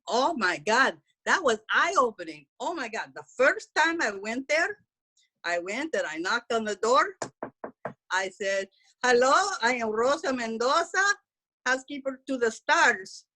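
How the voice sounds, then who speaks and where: A woman's voice: a little high, bright, forceful tone, full character voice, low-quality recording. A woman voicing a brash, gossipy middle-aged housekeeper, talking bluntly in broken English. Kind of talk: character stand-up comedy.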